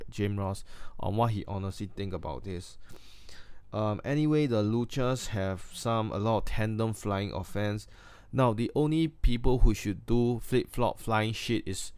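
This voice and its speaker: A man's voice: fairly low pitch, darkish tone, clean tone, no echo. A man with a Singaporean accent giving a casual, blunt and crude spoken wrestling review, full of fillers and restarts.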